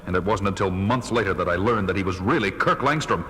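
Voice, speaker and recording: An actor playing a stern, heroic masked crime fighter, grave and declamatory in a 1970s superhero record drama. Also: male voice, low-pitched, fast talker, noisy background, lightly acted. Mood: grave, narrating. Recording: loud music bed under voice.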